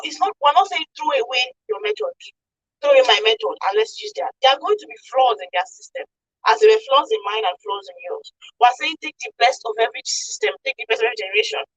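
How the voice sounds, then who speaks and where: High, lively young Nigerian woman. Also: no room sound, poor audio. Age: young adult. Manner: emphatic, repeatedly checks listener understands. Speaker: radio show guest explaining a topic. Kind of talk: informal radio discussion.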